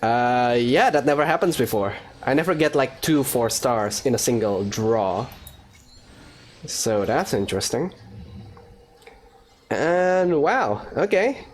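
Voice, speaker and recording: A man's voice: mid-range voice, expressive, slow, pauses often. A man hosting a let's-play, giving informal gaming commentary and thinking aloud. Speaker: gaming video host. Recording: faint background noise.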